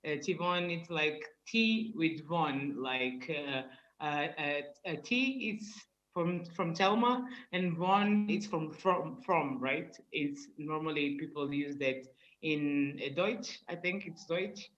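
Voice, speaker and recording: A man's voice: on the high side, slow pace, fairly bright tone, reverberant, lo-fi sound. A man, introducing himself as a guest at a book festival in chatty English full of laughs, fillers and restarts. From Angola. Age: 40s. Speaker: rapper and author guest. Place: event hall.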